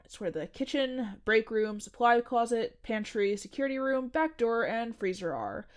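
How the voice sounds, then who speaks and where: A woman's voice: medium pitch, lightly acted. A young woman acting a strange, cheerfully nerdy coworker in a comedic audio drama, matter-of-fact and teasing. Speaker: restaurant janitor.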